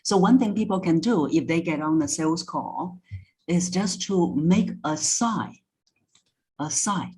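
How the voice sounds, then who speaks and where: A woman's voice: fairly low pitch, a little slow, a little mellow. A woman speaking as an interview guest and voice coach, explaining things conversationally and instructively with step-by-step examples. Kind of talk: conversational expert advice.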